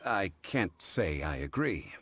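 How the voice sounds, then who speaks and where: An actor playing a calm, learned male scholar who speaks in a measured, courteous way. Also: low voice, full character voice. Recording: game cutscene with music behind.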